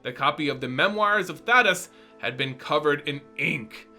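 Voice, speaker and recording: A man giving an animated, expressive dramatic reading of a fantasy story as narrator. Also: a little high, moderate pace, soft background music. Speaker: narrator and reader.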